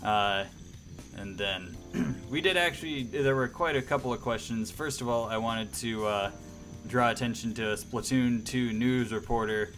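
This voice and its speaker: A man's voice: mid-range voice, measured pace, a bit bright, soft background music. A man hosting a radio-style livestream, chatting casually and enthusiastically to viewers in a rambling way.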